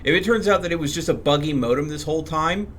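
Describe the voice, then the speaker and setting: A man with a fairly high-pitched voice chats through a live gaming stream. He talks casually and explains things step by step in a loose, rambling way. There is clear background noise behind his voice. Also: fast talker, fairly bright tone.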